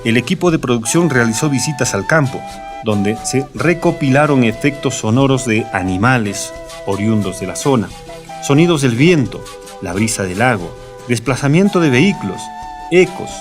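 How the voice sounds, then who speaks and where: A man's voice: mid-range voice, animated, moderate pace. A man reading scripted documentary narration. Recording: music playing behind the voice. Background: guitar music.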